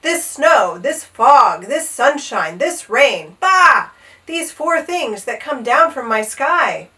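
A woman's voice: sits high, very animated, slow pace, bright, forceful tone, recorded from a distance, full character voice. A woman voicing a short-tempered, pompous old king in a children's storybook, growling out commands. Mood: angry grumbling. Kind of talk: animated children's storybook read-aloud.

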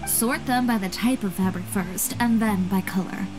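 A woman's voice: medium pitch, normal speed, natural voice, low-quality recording. A woman voicing a blunt, composed young dressmaker in an anime game, with dry delivery. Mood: businesslike. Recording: music playing behind the voice.

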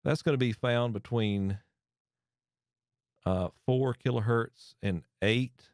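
A man's voice: medium pitch, slow, no echo. A man in his thirties explaining a mic processor in a casual hands-on way from a home studio desk, talking into a close studio mic and often restarting phrases. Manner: chatty, explains while turning knobs, restarts phrases. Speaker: audio gear tutorial host. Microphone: studio mic on arm close to face.

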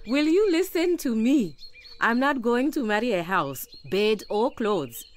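A devoted young bride-to-be in a radio drama. Her delivery is slow and highly expressive, with real determination in it. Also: slight background noise. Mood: exasperated, loving.

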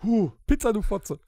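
A man's voice: extremely high pitch, vocal fry, bright, forceful tone, dry recording. A man co-hosting a podcast with casual, blunt banter in short bursts, close to the microphone. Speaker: podcast co-host. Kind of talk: casual banter, movie-title word game.

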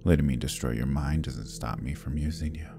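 A man voicing an arrogant, scheming Demon King who taunts and menaces in an ASMR roleplay, often dropping to a husky whisper. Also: very deep voice, a little fast, music in the background. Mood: menacing. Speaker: voice actor.

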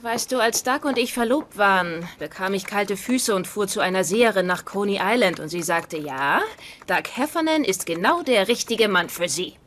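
A woman's voice: mid-range voice, expressive, moderate pace, a bit bright, slight background noise, natural voice. A woman playing a trusting, soothing and amused wife in a dubbed sitcom.

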